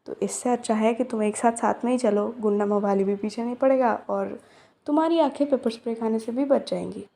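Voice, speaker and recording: A woman's voice: on the high side, brisk, gravelly, warm tone. A young woman acting out a romance roleplay to a silent listener, teasing and chatty.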